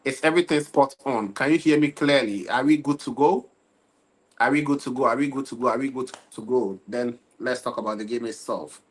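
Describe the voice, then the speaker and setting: A man's voice: medium pitch, brisk, husky, low-quality recording. A young man hosting a casual football livestream from Ghana, tired and loose, repeatedly checking in with his viewers. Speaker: livestream football talk host.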